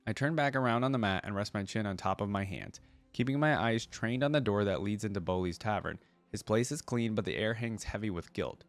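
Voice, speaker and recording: A man's voice: lowish voice, fairly quick, no echo, faint music behind. A man hosting a reaction video, reading lore aloud and adding casual commentary as he thinks aloud.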